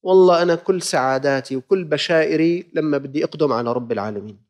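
A man's voice: fairly high pitch, fast talker, darkish tone. Fervent preacher, man with a Levantine accent. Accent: Levantine Arabic. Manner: impassioned exhortation with rhetorical questions to the listeners; switches between formal classical phrasing and colloquial dialect. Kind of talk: sermon.

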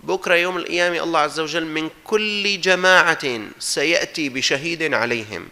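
A man's voice: a little high, a little fast, pushed and bright. A man with a Syrian accent giving a religious sermon, exhorting his listeners emphatically and mixing formal with colloquial speech. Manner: emphatic, exhorting, with rhetorical repetition. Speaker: preacher.

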